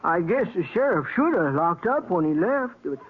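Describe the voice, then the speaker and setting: An actor playing a meek, fussy American small-town bank clerk in a 1950s radio western, flustered and dithering. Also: male voice, high voice, sing-song, normal speed, slightly rough, full character voice. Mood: reluctant. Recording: old narrow-band radio recording.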